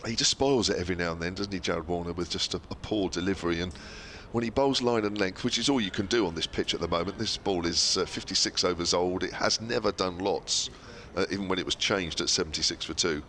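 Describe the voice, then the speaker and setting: A man's voice: sits low, fast talker, few pauses, sharp tone, a little hiss or hum. A man commentating cricket on the radio with a cold, losing his voice, chatty and full of fillers and tag questions.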